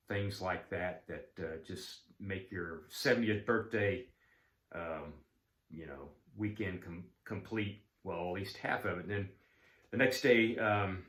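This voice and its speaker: A man's voice: low-pitched, fairly bright tone, roomy sound. A man, talking casually about collecting and filling his talk with ums and uhs. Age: 70s. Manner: rambling, frequent ums and uhs, folksy. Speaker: card collecting vlogger. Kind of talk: casual chat.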